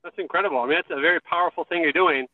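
A man's voice: on the high side, racing, dry recording, phone-quality sound. A man hosting a radio interview show, friendly and self-deprecating with his guests. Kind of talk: interview host.

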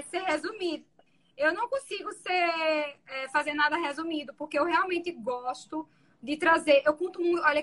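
A woman defense lawyer with a soft voice, explaining her courtroom methods in an interview. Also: high voice.

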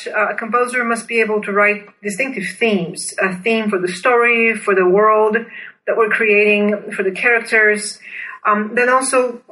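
A woman from Bulgaria, native in Bulgarian, speaking as an interview guest. Her voice is bright and medium in pitch, and she explains things with earnest, deliberate enthusiasm. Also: low-quality recording. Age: 30s or older.

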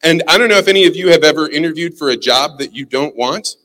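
Bright-voiced Missouri man giving a talk. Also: on the high side, fast talker. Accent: Midwestern American. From St. Louis, Missouri. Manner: animated, self-deprecating storyteller. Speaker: guest speaker, communication consultant. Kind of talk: storytelling keynote talk. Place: large hall with a live audience. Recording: long hall reverb.

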